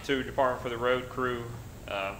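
A middle-aged man giving a plain, halting department report at a city council meeting, with many pauses. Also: mid-range voice, steady tone, sharp tone, a little hiss or hum. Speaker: council member. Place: council chamber at a dais. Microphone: desk mic. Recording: roomy council chamber.

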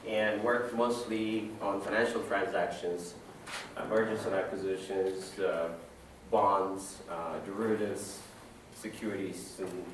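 A deep-voiced, middle-aged man from Ethiopia speaks to a class in an easy, conversational way, stopping for fillers and restarting his sentences. He is picked up by a camera far across a large, dim lecture room. The room echoes heavily around his voice. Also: steady tone, measured pace, noisy background. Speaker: guest lecturer. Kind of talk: classroom talk.

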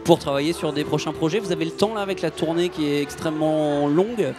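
Jokey middle-aged radio host. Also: male voice, fairly high pitch, fast, over music. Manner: familiar, jokey, teasing questions. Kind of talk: chatty festival radio interview. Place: festival radio booth in front of a sponsor backdrop. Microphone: handheld radio mic, wearing headphones.

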